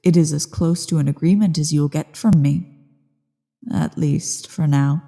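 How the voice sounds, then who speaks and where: Woman voicing a slow, gravelly, menacing she-dragon. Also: low-pitched, fairly even intonation, mellow, heavily acted. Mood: condescending, deliberating. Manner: imperious, deliberate, condescending. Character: ancient evil female dragon, haughty, menacing, newly woken. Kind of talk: ASMR fantasy roleplay. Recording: long cavernous reverb.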